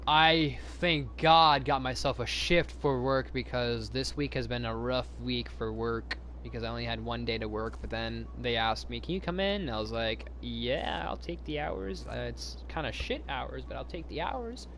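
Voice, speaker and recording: A man's voice: medium pitch, normal speed, hardly any pauses, a bit bright. Rambling male streamer with noise behind him. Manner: rambling, repeats himself, breaks off and restarts sentences. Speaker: live streamer and host. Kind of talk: casual live stream chat to viewers.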